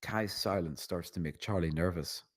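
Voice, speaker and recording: A man's voice: deep voice, moderate pace. A man narrating scene directions from a screenplay at a table read. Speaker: narrator reading stage directions.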